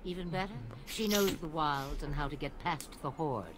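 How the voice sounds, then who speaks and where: An imperious old witch from a fantasy game, played by a woman, speaks with dry, mocking command. She is sly and cryptic, used to being obeyed. Clear outdoor ambient noise sits behind her. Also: low-pitched, moderate pace, lightly acted. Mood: brisk, commanding. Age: older. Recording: game audio over noisy outdoor ambience.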